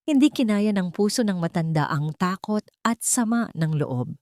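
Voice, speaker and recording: A woman with a Filipino accent voicing a kindhearted middle-aged village neighbor in an audio story, halting and full of pity, her voice breaking. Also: mid-range voice, animated, a little mellow, no echo, clean studio sound, close to an everyday voice. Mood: grieving, pitying. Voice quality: breaking, sorrowful voice.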